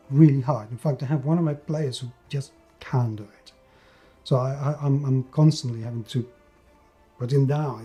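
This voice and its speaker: A man's voice: a little high, warm tone. A man giving an opinionated review as a show host, speaking emphatically and repeating words to stress his points. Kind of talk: opinionated review talk to camera.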